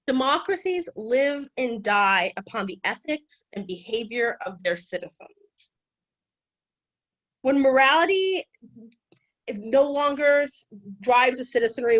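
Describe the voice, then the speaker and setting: A woman giving a conversational public lecture on ancient history, animated and direct, with occasional restarts. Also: a little high, low-quality recording. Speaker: guest lecturer.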